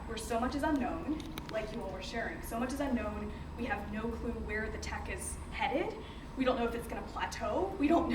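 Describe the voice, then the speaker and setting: In a reverberant, noisy room, a young American woman speaks in a fairly high voice. She presents informally to a group, conversational and emphatic, like someone sharing her own story as a founder. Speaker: company founder presenting to teachers. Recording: roomy, distant mic, noisy background.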